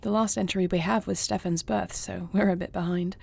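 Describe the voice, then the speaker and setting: A woman playing a tender, regal dragon queen in a romantic audio roleplay, with soft, formal and affectionate delivery. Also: on the low side, steady tone, fairly quick, full character voice. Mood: thoughtful, warm. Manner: soft, formal phrasing, affectionate, often breathy. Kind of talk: romantic audio roleplay, intimate scripted acting.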